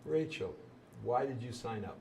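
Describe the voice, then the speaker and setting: A man's voice: mid-range voice, lively intonation, moderate pace, vocal fry, mellow, slight background noise. A jovial, joking man in his sixties hosting a local talk show in a small studio. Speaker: host.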